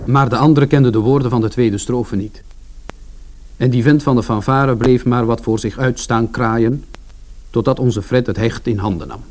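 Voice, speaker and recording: A man's voice: medium pitch, steady tone, moderate pace, a little mellow, slight background noise, natural voice. An actor playing a wry, sardonic first-person narrator in a radio play, telling his family story in blunt colloquial words. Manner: sardonic, colloquial, mildly swearing.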